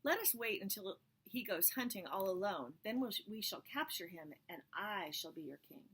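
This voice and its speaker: A woman's voice: medium pitch, expressive, normal speed, a bit bright, slightly rough. A woman voicing a blustering, power-hungry male rebel chieftain. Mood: defiant.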